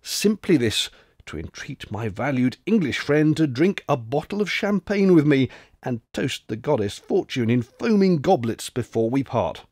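A man voicing a boisterous, crafty old French ex-soldier in an audiobook, all exclamations and flattery. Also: medium pitch, moderate pace, no echo, clean studio sound. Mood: jovial. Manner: blustering, exclamatory, drops into confidential tones. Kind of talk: audiobook character voice.

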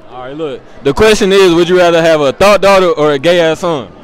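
A man's voice: on the high side, brisk. A young man doing a brash, slangy, profane street interview on a handheld mic in a large indoor cafeteria. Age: young adult. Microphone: small handheld mic. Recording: busy room crowd chatter.

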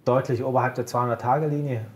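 A man co-hosting a casual market chat, calmly walking through stock charts point by point. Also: medium pitch, fast talker. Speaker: co-host of a broker's market show.

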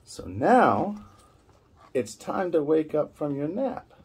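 A man's voice: on the high side, sing-song, slow pace, a little mellow, husky. A middle-aged man guiding young children through reading, explaining in short simple phrases and prompting with questions. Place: small indoor room at a table.

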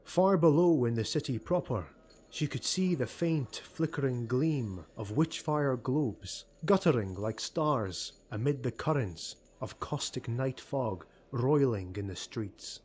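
A man narrating a dark fantasy audiobook in a measured, dramatic storytelling manner. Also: mid-range voice, lively intonation, husky.

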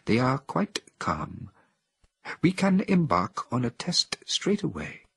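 A man's voice: medium pitch, slow pace, creaky, breathy edge, no echo, pitched above the performer's own voice, heavily acted. A man voicing a male chimpanzee scientist who speaks with a mysterious air, in an audiobook reading. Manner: mysterious, calm.

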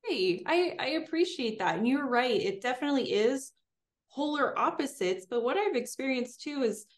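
A woman's voice: high-pitched, animated, moderate pace. A young woman answering interview questions in an upbeat, fluent, chatty way, recorded indoors. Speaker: guest, homesteader and streamer. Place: indoors in front of a green screen.

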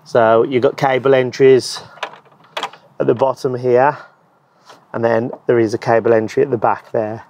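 A man in his thirties with a hoarse voice, relaxed and explanatory, demonstrating an installation outdoors in a garden on a lapel mic. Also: mid-range voice, normal speed, long pauses, muffled recording, a little hiss or hum. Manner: relaxed, explanatory, with frequent ums and so's. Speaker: EV charger installer and channel host. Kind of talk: hands-on installation demo. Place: outdoor garden beside a wooden shed.

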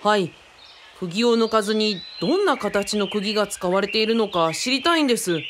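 A woman's voice: medium pitch, expressive, normal speed, slight background noise, lightly acted. A woman playing an eager, kindly young craft enthusiast who speaks politely and keenly in a radio drama. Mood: eager, pleading. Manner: keen, polite, explanatory.

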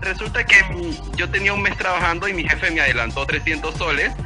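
A man's voice: high-pitched, fast talker, music in the background. A young Venezuelan man with a Táchira border accent, a rambling interview guest who often repeats his words. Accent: Venezuelan Andean, from Táchira near the Colombian border. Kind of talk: casual interview answers.

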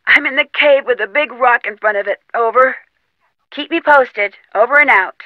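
A young American woman playing a plucky, determined detective in an adventure game, speaking earnestly and clearly. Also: on the high side, moderate pace, no room sound, phone-quality sound, lightly acted. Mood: brisk, businesslike. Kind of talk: scripted game dialogue.

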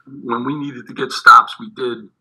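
A middle-aged man, a head basketball coach, answering postgame questions over a video call, halting and repeating his words, with many fillers. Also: mid-range voice, little pitch movement, sharp tone, rough recording. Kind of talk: postgame press conference answers. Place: indoors in front of a team backdrop. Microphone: video call webcam.

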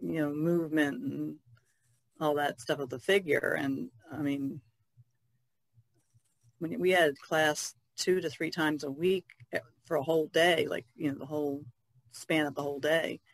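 A woman artist talking casually as a podcast guest, in halting, loosely strung phrases. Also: low-pitched, dry recording. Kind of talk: casual conversational recollection.

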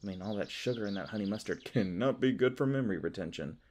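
A man's voice: fairly low pitch, lively intonation, quick pace, a little mellow, slight background noise, close to an everyday voice. A man playing a polite, hesitant, folksy elf park ranger in an audio roleplay. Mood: muttering, weary. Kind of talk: ASMR audio roleplay acting.

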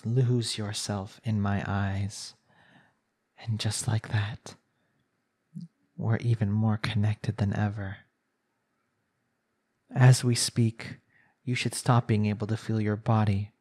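A man performing an ASMR roleplay as a smug, coaxing young villain hypnotist, speaking in a soothing, deliberate way. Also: lowish voice, a little mellow. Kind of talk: ASMR roleplay acting, slow hypnotic monologue.

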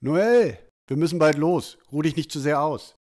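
A man's voice: fairly high pitch, normal speed, a little mellow, natural voice. A man playing a stern, exasperated village father with an emphatic, urging delivery in a fantasy audio drama.

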